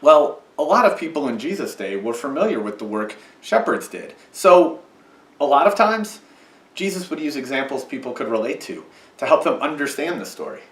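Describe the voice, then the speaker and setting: A man's voice: medium pitch, sing-song, moderate pace, roomy sound, recorded from a distance. A man leading a children's message in church, patiently explaining in a sing-song way for kids. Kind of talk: children's sermon with puppet. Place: church sanctuary.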